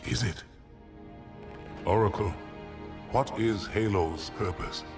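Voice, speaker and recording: A man voicing a proud alien warrior commander who speaks in a measured, solemn way. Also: fairly low pitch, music in the background. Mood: grave.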